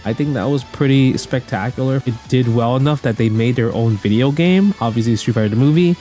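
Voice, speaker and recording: A man's voice: medium pitch, brisk, dark tone. Slangy, emphatic man giving opinions over music. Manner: slangy, emphatic, repetitive phrasing. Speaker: guest commentator ranking movies. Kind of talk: casual opinionated video commentary. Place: indoor room at home.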